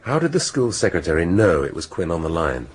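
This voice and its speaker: Deep, animated, brooding detective inspector. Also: male voice, a little fast, husky, heavily acted. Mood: impatient, probing. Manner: musing and abrupt, swinging from muttering to excited outbursts. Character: ageing, brooding, impatient male detective inspector. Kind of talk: radio drama acting.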